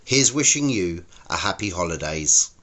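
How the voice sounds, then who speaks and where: A British man with a bright, forceful edge and a lowish pitch introduces himself as an author in a short greeting. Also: very animated, moderate pace.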